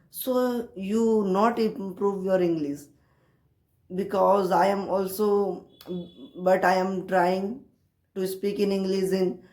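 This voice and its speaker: Slow, halting Indian woman in echoey room. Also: mid-range voice, mellow. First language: Hindi. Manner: halting, repetitive, learner's broken English. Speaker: English-learning video creator. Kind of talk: casual motivational talk to camera. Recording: roomy, echoey sound.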